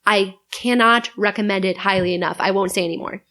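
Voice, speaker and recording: A woman's voice: medium pitch, fairly even intonation, fairly quick, bright, forceful tone. A woman guesting on a podcast, chatting casually with an enthusiastic, wry manner.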